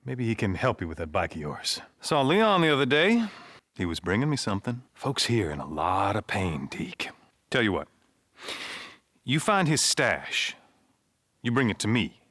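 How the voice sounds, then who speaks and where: A man's voice: fairly low pitch, wildly swooping pitch, moderate pace, a bit bright, no room sound, studio quality, heavily acted. A man acting a folksy, commanding survivor camp leader in a video game, speaking plainly and naturalistically. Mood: sly, bargaining.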